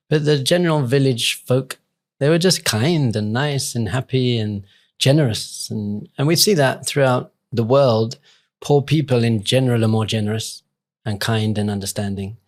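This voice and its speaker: A man speaking as an interview guest, reflective and earnest in an informal, conversational way. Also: medium pitch. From England. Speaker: rabbi and podcast guest.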